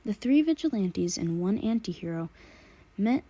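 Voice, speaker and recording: Mellow-voiced woman narrating a story. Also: mid-range voice, lively intonation, moderate pace, rough recording. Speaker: audiobook narrator. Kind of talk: scripted narration of fan fiction.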